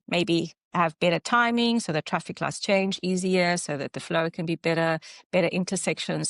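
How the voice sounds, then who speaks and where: South African woman clinician presenting slides, lowish voice, dry close sound, explains with pauses and restarts. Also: creaky, no room sound. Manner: halting explanation with fillers and self-corrections. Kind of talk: online webinar presentation.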